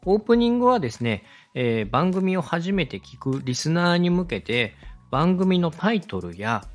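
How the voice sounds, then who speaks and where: A man's voice: on the high side, big pitch swings, normal speed, soft background music. A man hosting an instructional podcast, explaining things politely and step by step to a co-host. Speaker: host, radio director teaching podcast production.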